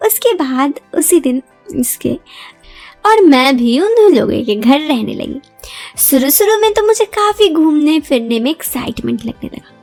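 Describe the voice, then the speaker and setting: A woman's voice: high voice, big pitch swings, unhurried, a little mellow, soft background music. A young woman narrating a first-person story in a chatty, confiding way, often addressing listeners with rhetorical asides.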